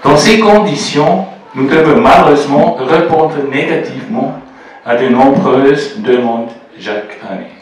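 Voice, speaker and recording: A man with a Swiss German accent, French not his first language, giving a measured, prepared speech at a formal ceremony as a postal official. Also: mid-range voice, slight background noise. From German-speaking Switzerland. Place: large echoey reception room. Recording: echoey room, speaker far from the mic.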